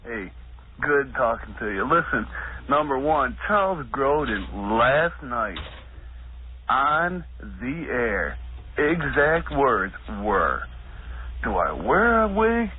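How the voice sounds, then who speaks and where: A male caller telling a story on a radio phone-in, excited. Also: mid-range voice, slow, a little hiss or hum. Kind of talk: phone-in call. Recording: tinny phone line on radio.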